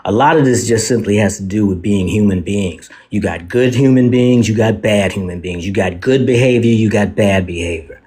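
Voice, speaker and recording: A man's voice: fairly low pitch, normal speed, hardly any pauses, darkish tone. A man with a Detroit accent, defiantly arguing his side in a heated panel debate. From Detroit, Michigan. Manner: defiant, combative.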